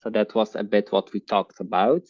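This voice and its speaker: A man hosting an online class on a video call, talking in a halting way with many fillers. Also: on the low side, fairly even intonation, no room sound. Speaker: online course host. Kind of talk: casual online class talk. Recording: muffled video call audio.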